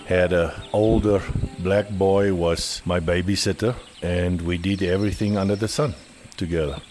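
Deep-voiced South African man. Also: darkish tone. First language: Afrikaans. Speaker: interview guest. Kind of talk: relaxed storytelling about his childhood.

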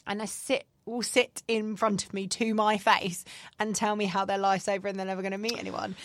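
A woman's voice: mid-range voice, flat delivery, fairly quick, no room sound. A woman in her thirties, chatting candidly as a podcast co-host with lots of fillers. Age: 30s. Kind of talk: casual chat.

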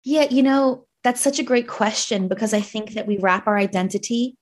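A woman's voice: a little high, moderate pace. A woman in her thirties from New Jersey, with a Jersey accent, speaking earnestly and reflectively as a coach on a podcast. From New Jersey, United States. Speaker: guest, coach. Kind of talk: podcast conversation.

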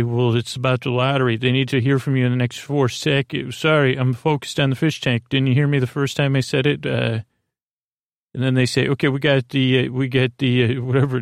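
A man hosting a bedtime podcast in a rambling, halting casual monologue, repeating himself and drifting into tangents with a gravelly voice. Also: medium pitch, dry recording, studio quality. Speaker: podcast host.

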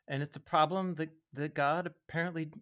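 A man's voice: on the high side, steady tone, brisk, a bit bright. Thoughtful man explaining in conversation. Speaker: podcast guest, Bible teacher.

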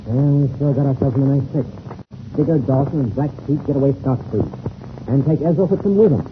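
An actor playing an eager, impatient young adventurer in a 1930s radio drama. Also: male voice, medium pitch, brisk, lightly acted. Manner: impatient, excitable. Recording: tinny, hissy old radio recording.

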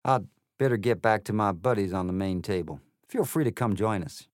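An actor playing a tall, brash male cowboy hare who talks in a slow drawl. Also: lowish voice, no echo, clean studio sound, natural voice. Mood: breezy.

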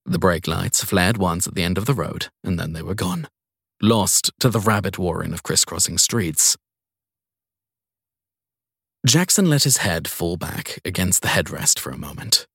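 A man's voice: low voice, a bit bright, husky, dry recording. A man reading scripted crime fiction as an audiobook narrator, recorded close up.

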